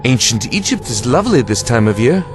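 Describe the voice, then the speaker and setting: A man's voice: medium pitch, very animated, a little fast, lightly acted. Guy as a carefree reassuring time traveller, bouncy intonation, audio drama w music under it. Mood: breezy, playful.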